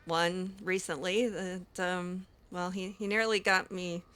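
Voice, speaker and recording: Gaming roleplay host, woman, bright tone, hesitant and rambling, a bit snarky. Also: lowish voice, fairly even intonation, takes it slowly. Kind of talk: roleplaying a character in a video game.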